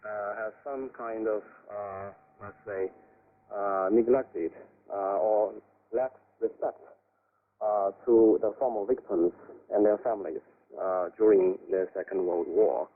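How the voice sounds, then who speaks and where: A man speaking as an academic guest in a radio discussion, giving measured, halting analysis over a shortwave broadcast. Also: on the low side, fairly even intonation, narrow, tinny audio.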